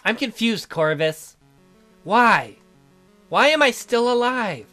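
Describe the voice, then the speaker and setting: Man acting a sorrowful noblewoman, game character, confessional, earnest, high pitch, lively intonation. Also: takes it slowly, faint music behind, full character voice. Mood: confessing. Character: weary, sorrowful young noblewoman, regretful ruler.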